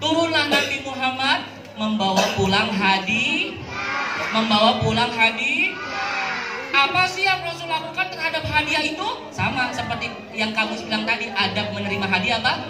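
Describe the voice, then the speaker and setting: A woman's voice: fairly high pitch, expressive, normal speed, noisy background. A woman telling a religious story to children, asking her listeners questions and repeating key words. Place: outdoor mosque yard event over loudspeakers. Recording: echoey PA, distant, with crowd and music.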